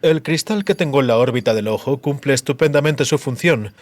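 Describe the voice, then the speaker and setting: A man's voice: medium pitch, a little mellow, no room sound, lightly acted. Man playing a menacing, cruel sorcerer. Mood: cold, resentful. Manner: menacing, theatrical, measured monologue. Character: cruel, disfigured male sorcerer, coldly gloating.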